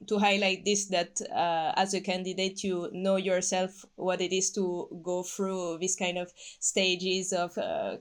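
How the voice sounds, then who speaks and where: A woman hosts an interview. She introduces the guest and asks about his work, speaking in a friendly way with many filler sounds between phrases. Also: mid-range voice. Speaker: interview host. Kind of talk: hosting an interview.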